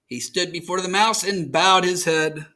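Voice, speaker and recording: An American man reading a storybook aloud to children as host, in an expressive, dramatic storytelling manner. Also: high-pitched, a little slow. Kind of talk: animated storybook narration.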